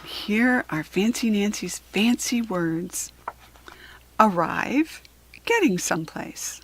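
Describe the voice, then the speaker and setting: An American woman reading a children's picture book aloud in an expressive, deliberate, teacherly way. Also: mid-range voice, slight background noise.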